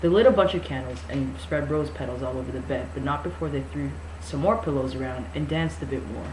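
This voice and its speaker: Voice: mid-range voice, brisk. Sarcastic, sweary amateur reader in an echoey room. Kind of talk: mock-dramatic novel reading with sarcastic asides. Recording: echoey room with faint noise.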